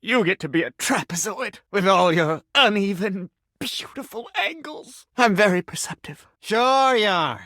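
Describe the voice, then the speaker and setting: An enchanted male sink in a video game who believes he is a grand claims adjuster. He is pompous and melodramatic, with florid formal diction. His pitch leaps about freely, and the sound is close and dry. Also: animated, measured pace, sharp tone, no echo, heavily acted. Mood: dejected, pining. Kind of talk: video game character acting.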